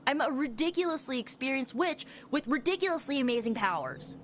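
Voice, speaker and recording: A confident, defiant young witch from a video game. Her highish voice moves animatedly, with impassioned, colloquial delivery and a sarcastic edge. Slight noise sits behind it. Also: expressive, a little fast. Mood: frustrated, hurt. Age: young adult. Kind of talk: dramatic video game acting.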